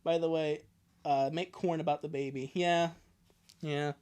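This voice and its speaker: A man's voice: a little high, fairly even intonation, measured pace, darkish tone, clean tone. A man doing a casual, rambling, jokey livestream with commentary on what he reads. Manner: jokey, rambling, chuckling asides. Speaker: live streamer.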